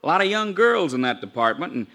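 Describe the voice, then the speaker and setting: Earnest office manager, bright voice. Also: male voice, a little high, sing-song, fast, full character voice. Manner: deferential, matter-of-fact. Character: earnest, deferential American office personnel manager. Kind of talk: 1950s American radio sitcom acting.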